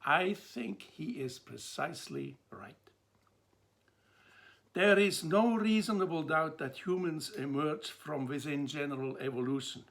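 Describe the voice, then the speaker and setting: An older man from Switzerland with a Swiss accent, giving a measured talk to camera and pausing between phrases. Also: fairly high pitch, creaky, fairly bright tone. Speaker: theologian presenting a talk. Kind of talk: slow, deliberate lecture to camera.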